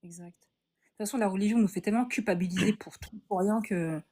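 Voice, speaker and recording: A woman's voice: medium pitch, a little fast, mellow. A woman, a guest in a casual chat, speaking indignantly and with some hesitation.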